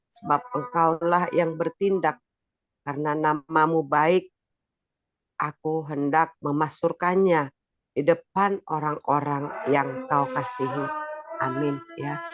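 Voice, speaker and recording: A preacher giving a sermon with a stuffy, nasal voice while recovering from illness, pitching up and getting louder as they explain a point. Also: dull audio. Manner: rises in pitch and volume when explaining.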